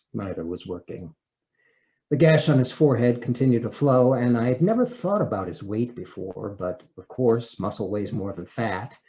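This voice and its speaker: A male actor reads a first-person personal essay aloud in an expressive, theatrical manner, with deliberate pauses and emotional swells. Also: medium pitch.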